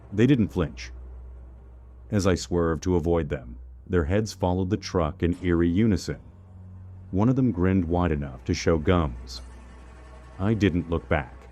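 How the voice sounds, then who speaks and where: A man narrating a scripted first-person horror story in a measured, suspenseful manner. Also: low-pitched, mellow.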